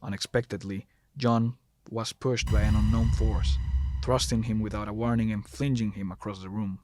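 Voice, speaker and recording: A man's voice: fairly low pitch, steady tone, moderate pace, a little mellow, no echo. A man narrating a scripted horror story as the narrator, recorded close to the mic.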